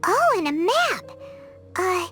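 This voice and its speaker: A woman voicing a tiny, chirpy floating companion sprite in a game, with a high squeaky childlike voice and an eager, chatty manner. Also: big pitch swings, takes it slowly, fairly bright tone, heavily acted. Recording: game cutscene with faint music.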